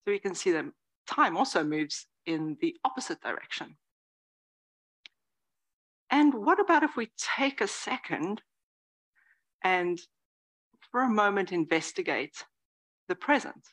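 A woman in her forties giving a lively, conversational dharma talk as a Zen teacher, dropping now and then into soft whispered asides. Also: mid-range voice, a little slow, long pauses, rough recording. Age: 40s. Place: meditation hall before a live audience.

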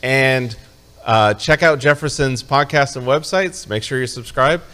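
A man's voice: medium pitch, a little slow, bright, forceful tone. A man from upstate New York hosting a live show, chatting casually with a guest and wrapping up with energy. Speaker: show host.

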